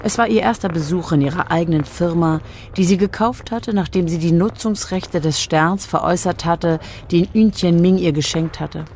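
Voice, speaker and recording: A woman's voice: lowish voice, normal speed, few pauses, darkish tone, slight background noise. A woman reading scripted narration for a radio drama.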